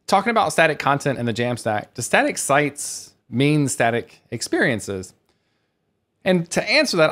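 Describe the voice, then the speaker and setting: Male developer educator, medium-pitched voice, enthusiastic, conversational, explanatory conference presentation.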